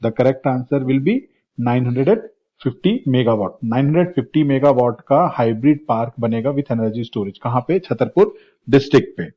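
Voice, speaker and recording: A male exam coach with an Indian accent runs through quiz questions, restating them in two languages. His voice is rough and a little hoarse, at a medium pitch. The audio is muffled and lo-fi. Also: normal speed. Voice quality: husky. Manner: repeats questions in Hindi and English. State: slightly hoarse, sore throat. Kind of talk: quiz-style current affairs lecture. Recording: dull, lo-fi lecture audio.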